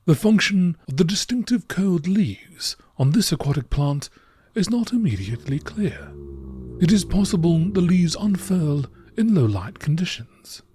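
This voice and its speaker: Male narrator, fairly high voice, very animated pitch, measured nature-documentary read, some background noise. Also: slightly rough, dry recording. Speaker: narrator reading game text aloud.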